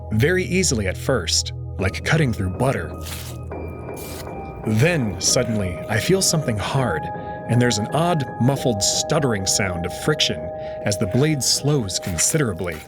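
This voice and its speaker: A man narrating a visual novel in the first person with dramatic, expressive storytelling. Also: medium pitch. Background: music.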